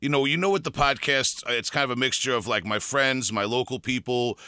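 Chatty male podcast host, bright voice, dry recording. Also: mid-range voice, a little fast, smooth, dry recording. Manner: friendly, chatty, laughs easily. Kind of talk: casual interview.

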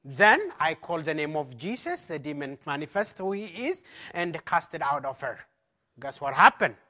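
A man's voice: on the high side, sing-song, moderate pace, pushed and bright. A man pastor from Ethiopia with an Ethiopian accent, giving an emphatic personal testimony as a conference talk in non-native English.

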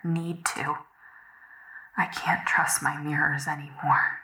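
An actress playing a tormented, fearful young woman in a supernatural audio drama, speaking in halting, hushed dramatic phrases. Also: on the low side. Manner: halting, breathy half-whispered, dramatic delivery. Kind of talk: found-footage horror audio drama monologue. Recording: echoey, roomy sound.

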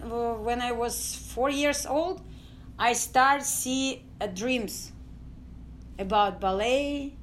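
A middle-aged woman from Ukraine with a Ukrainian accent, telling her story as an interview guest in halting English full of fillers. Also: on the high side, slight background noise. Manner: halting non-native English with many fillers. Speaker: guest, dance company founder.

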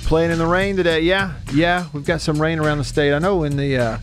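A man's voice: on the high side, a little fast, soft background music. A man hosting sports radio from a studio in a folksy, rambling, chatty way. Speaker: sports radio show host. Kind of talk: casual chat.

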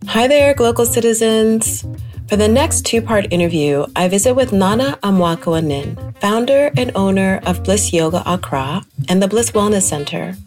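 A woman's voice: medium pitch, expressive, normal speed, a little mellow, faint music behind. A woman hosting an interview podcast, reading a scripted introduction and then asking conversational questions. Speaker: podcast host.

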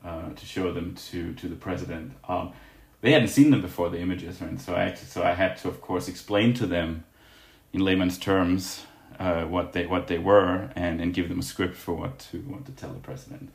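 Casting: low-voiced scientist guest from Denmark, halting answers, roomy echo. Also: male voice, fairly even intonation, gravelly. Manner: halting, restarts with fillers. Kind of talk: interview answers.

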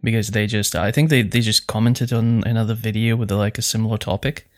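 A man chatting casually as a podcast co-host, in halting phrases with repeated words. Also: fairly low pitch, steady tone. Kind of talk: casual chat.

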